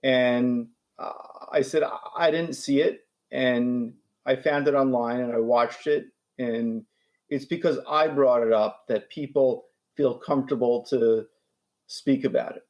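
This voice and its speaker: A man's voice: medium pitch, fairly even intonation, unhurried, darkish tone, highs cut off. A man in his forties from New York who stutters, with repeats and restarts, talking as a guest in a casual interview. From New York, USA. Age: 40s.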